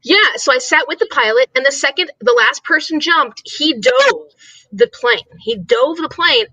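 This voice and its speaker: A woman's voice: sits high, big pitch swings, normal speed, bright, forceful tone, no room sound, low-quality recording. A woman co-hosting an online reading livestream, chatting casually and repeating herself for emphasis. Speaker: co-host of online reading sprints.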